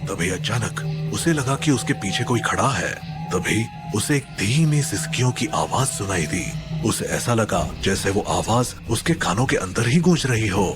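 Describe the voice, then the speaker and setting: A man narrating a scripted horror story in a dramatic, suspenseful manner. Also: lowish voice.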